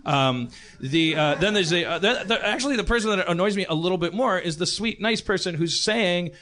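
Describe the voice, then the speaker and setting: A man hosting a comedic talk podcast in a rambling, halting way, often stammering and repeating words. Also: sits high, expressive, bright, forceful tone. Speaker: podcast host.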